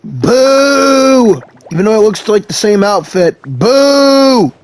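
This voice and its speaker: A male gamer chats casually over his own gameplay, reacting as he goes. His voice is high for a man, and there is faint noise with some clicking in the recording. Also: big pitch swings, slow. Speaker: gamer, let's player. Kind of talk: casual live gameplay commentary.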